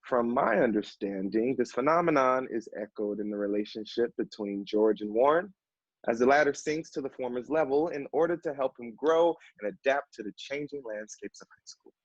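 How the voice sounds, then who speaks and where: An actor plays a measured, dry-witted male assistant in a one-act play. His medium-pitched voice is articulate and deadpan. The sound has little room echo and is a bit dull. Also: lightly acted. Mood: measured, explanatory.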